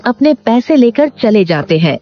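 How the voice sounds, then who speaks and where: A woman's voice: on the high side, big pitch swings, moderate pace. A woman narrating a scripted moral story for a cartoon. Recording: dull lo-fi sound, faint music bed.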